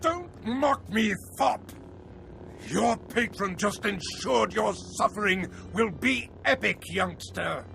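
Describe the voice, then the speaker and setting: An actor playing an arrogant, wrathful dark lord in a video game, declaiming in a pompous, theatrical, menacing way with a gravelly, forceful voice. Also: male voice, high-pitched, lively intonation, slow, slight background noise, exaggerated voice. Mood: enraged, desperate. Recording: music under the voice.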